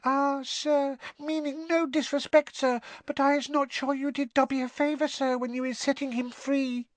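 A man voicing a tiny, timid female house elf from a storybook, with a quivering squeak and meek, servile broken grammar. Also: flat delivery, normal speed, no room sound. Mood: sad, deferential.

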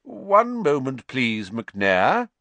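A man voicing a calm old professor in an audiobook, unhurried and quietly amused. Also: mid-range voice, no room sound, voice pushed up, exaggerated voice. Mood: calm interruption.

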